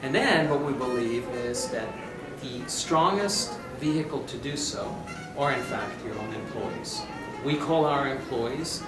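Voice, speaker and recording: Man from Austria over loud music. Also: medium pitch, unhurried, few pauses. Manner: explanatory, emphatic, seeks agreement with "right?". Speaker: interview guest, corporate marketing executive. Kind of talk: conversational interview. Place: conference venue. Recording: echoey, distant mic, loud music behind.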